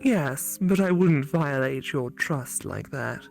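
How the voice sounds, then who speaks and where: Visual novel AI companion played by a woman: calm, polite, devoted, measured delivery, lively intonation. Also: deep voice, creaky, soft background music, exaggerated voice. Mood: reassuring. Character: devoted calm female AI program.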